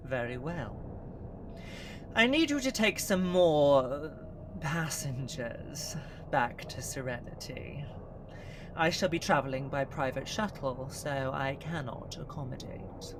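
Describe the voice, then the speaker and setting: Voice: mid-range voice, lively intonation, slow pace, background noise. An actor playing a menacing zealot villain in an audio drama, speaking in a flowery, formal, condescending way.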